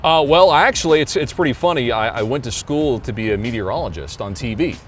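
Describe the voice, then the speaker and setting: An American man in his thirties with a booming announcer voice, answering interview questions casually as a public address announcer. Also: lively intonation, a little fast, soft background music.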